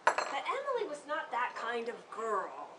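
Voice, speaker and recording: A woman reading a children's story aloud in an expressive, theatrical way, pausing to engage her listeners. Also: on the high side. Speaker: author reading to a group of children. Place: room with children responding. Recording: distant microphone, noisy room.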